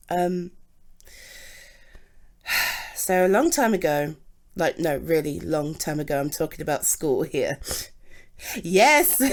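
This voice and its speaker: Slow-talking, expressive British girlfriend, acted by a woman. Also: deep voice. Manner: gushing, affectionate, halting with sighs and repeats. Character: doting, excitable, supportive girlfriend comforting her partner. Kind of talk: ASMR girlfriend roleplay, intimate one-sided scene.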